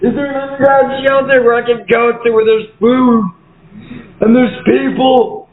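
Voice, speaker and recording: Man acting a high-voiced, echoey, desperate trapped survivor. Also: level tone. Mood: tearful pleading. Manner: despairing, halting, pleading. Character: desperate trapped apocalypse survivor, grieving husband. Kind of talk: amateur horror audio drama monologue. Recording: echoey, phone-like, faint noise.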